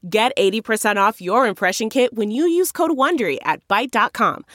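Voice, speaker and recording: A woman's voice: on the high side, animated, brisk, a bit bright, no room sound, clean studio sound. A woman reading an upbeat advertisement. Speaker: ad announcer. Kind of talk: upbeat ad read.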